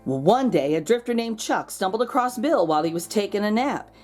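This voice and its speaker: Female storybook narrator, expressive and animated, leaning on key words for young listeners. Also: medium pitch, a little fast, faint music behind. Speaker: children's book read-aloud host. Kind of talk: expressive storybook read-aloud.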